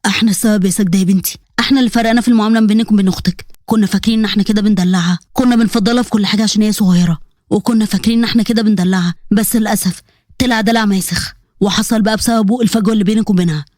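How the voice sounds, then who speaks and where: A woman's voice: mid-range voice, fairly even intonation, rapid-fire, a little mellow, no room sound, clean studio sound, pitched below the performer's own voice, close to an everyday voice. A woman voicing a weary, affectionate middle-aged father with an Egyptian accent in an audio story. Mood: remorseful. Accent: Egyptian Arabic.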